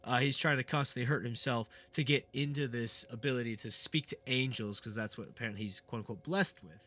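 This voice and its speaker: A man who is sick and a bit raspy, hosting a game playthrough in casual, rambling commentary with many fillers and restarts. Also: medium pitch, fairly quick, bright, forceful tone. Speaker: gaming channel host. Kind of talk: casual let's play commentary.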